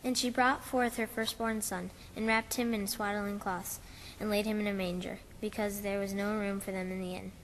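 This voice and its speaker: A woman's voice: mid-range voice, little pitch movement, normal speed, big-room sound, a little hiss or hum, lightly acted, rough recording. A woman playing a child narrator who solemnly recites nativity scripture in a pageant. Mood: solemn reciting.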